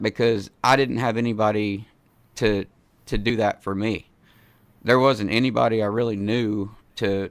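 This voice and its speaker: A man in his forties hosts a video-call show from a small home studio, his voice hoarse from a cold. He sounds gravelly and smooth at once, sitting close to a dry mic. He talks in a relaxed, slangy, sincere way. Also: on the low side, no echo. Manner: laid-back, slangy, earnest. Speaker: host. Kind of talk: casual video-call interview. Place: home studio with foam panels. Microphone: studio mic in frame.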